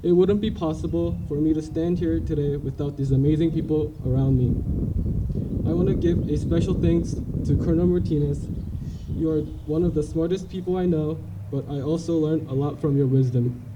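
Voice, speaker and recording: A young man from South Korea with a Korean accent, giving a graduation speech as salutatorian in an earnest, halting way with frequent restarts. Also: a little high, fairly even intonation. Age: young adult. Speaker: salutatorian cadet. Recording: distant mic, light noise.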